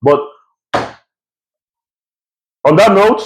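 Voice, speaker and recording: A man's voice: high voice, lively intonation, drawn-out words. A man co-hosting a football podcast in casual chat, emphatic and fond of repeating his catchphrases. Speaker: podcast co-host.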